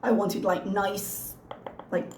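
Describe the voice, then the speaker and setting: A woman with a hoarse, slightly strained voice, chatting casually and wryly as a reaction channel host. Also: mid-range voice, fairly even intonation, slow pace, darkish tone, roomy sound, a little hiss or hum. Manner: chatty, wry, self-mocking. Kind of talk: casual chat to camera.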